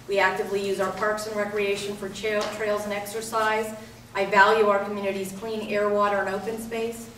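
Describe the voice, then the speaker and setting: A middle-aged woman from Northern California, giving a measured debate opening statement as a candidate. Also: mid-range voice, slight background noise. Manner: measured, with frequent um and uh. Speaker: political candidate. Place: public event hall. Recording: echoey hall from far off.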